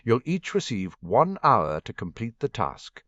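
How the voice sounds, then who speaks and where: A man voicing a falsely cheerful middle-aged male official who announces rules in a brisk tone. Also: mid-range voice, huge pitch swings, fairly bright tone, dry recording, voice pushed up, full character voice. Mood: announcing. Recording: dull lo-fi dry recording.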